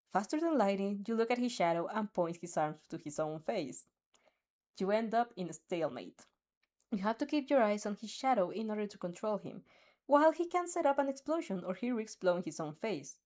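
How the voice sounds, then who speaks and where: A young woman reading a second-person fanfic aloud, expressive and giggling at her own slips. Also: mid-range voice. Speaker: fanfic reader.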